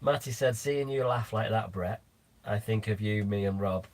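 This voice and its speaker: A man's voice: lowish voice, moderate pace, a little mellow. West Lancashire male co-host, dry, reads the chat. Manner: reads out viewer comments, dry banter, swears casually. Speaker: live stream co-host. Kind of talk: casual live stream chat.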